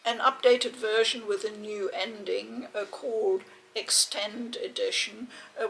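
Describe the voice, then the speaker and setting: Woman, creaky voice, casual unboxing host, measured and chatty, faint background noise. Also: a little high, fairly bright tone, low-quality recording. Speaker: video game unboxing host.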